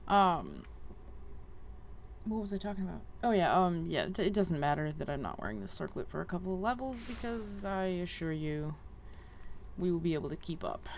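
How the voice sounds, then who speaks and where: A woman's voice: lowish voice, big pitch swings, normal speed, vocal fry, a little hiss or hum. A woman giving casual, chatty game walkthrough commentary, thinking aloud as she plays. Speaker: gaming video host.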